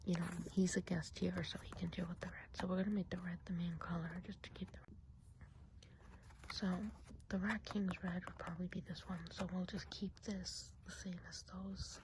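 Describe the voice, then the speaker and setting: A woman's voice: on the low side, flat delivery, moderate pace, noisy background, close to an everyday voice. A woman playing a whispering, gossipy woodland witch. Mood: gentle, chatty. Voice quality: soft breathy whisper. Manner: confiding, gossipy, asks the listener questions. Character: chatty gossipy woodland witch planning enchanted festival. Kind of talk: ASMR roleplay, whispered.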